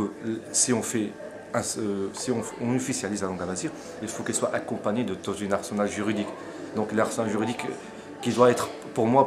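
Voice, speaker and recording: A man in his forties from Morocco, giving his view in an interview, hesitating and restarting his phrases while insisting on finishing his point. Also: fairly low pitch. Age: 40s. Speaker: interviewee, conference attendee. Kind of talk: spontaneous debate interview. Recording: crowd noise behind.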